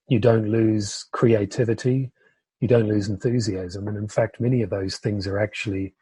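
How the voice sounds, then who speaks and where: A man in his fifties from the United Kingdom, a podcast guest and entrepreneur, speaking thoughtfully with pauses and fillers. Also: on the low side, mellow, husky, no echo. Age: 50s. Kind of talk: conversational interview.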